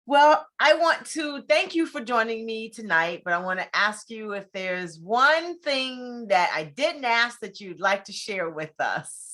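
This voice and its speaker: A woman's voice: fairly high pitch, lively intonation, measured pace, a bit bright. A woman hosting a conversational interview show, speaking in an effusive, encouraging way.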